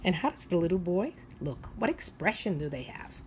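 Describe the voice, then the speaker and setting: A woman teacher leading a read-aloud for young children, asking simple questions and pausing for them to think. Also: fairly low pitch, sing-song, a little mellow, slight background noise, rough recording.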